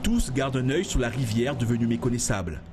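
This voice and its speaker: Man, news voice-over, measured, mid pitch, faint background noise. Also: lively intonation. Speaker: news reporter. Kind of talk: scripted news report voice-over.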